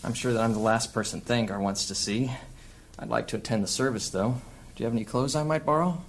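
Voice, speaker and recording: A woman's voice: very deep voice, quick pace. A woman playing a wry, guarded adventurer in a fantasy audio drama, dry and understated. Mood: reluctant. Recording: faint background noise.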